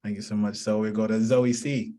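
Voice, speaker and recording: A man's voice: on the low side, flat delivery, normal speed, mellow. A man hosting a student panel, speaking unscripted and thanking and affirming each speaker. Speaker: panel host and moderator.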